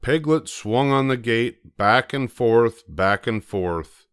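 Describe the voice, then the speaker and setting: Older man, children's storyteller, expressive and varied intonation, deliberate pauses, close dry recording. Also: medium pitch, smooth, no echo. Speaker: storybook narrator.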